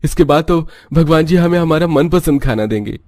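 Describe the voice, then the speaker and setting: An innocent little boy from a cartoon tale, played by a man with his pitch pushed up. He sounds childlike and hopeful. The recording is dry and close. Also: expressive, brisk, darkish tone, no room sound, lightly acted. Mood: eager. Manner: childlike, eager. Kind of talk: Hindi cartoon story voice acting.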